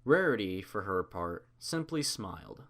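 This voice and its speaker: A man's voice: on the low side, sing-song, a little slow, a bit bright. A man reading a story aloud as narrator, in a scripted storybook style.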